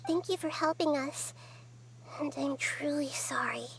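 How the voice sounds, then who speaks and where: A woman's voice: high-pitched, a little hiss or hum. A small, wise girl goddess. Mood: apologetic. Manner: soft, measured, gently explaining. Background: game music.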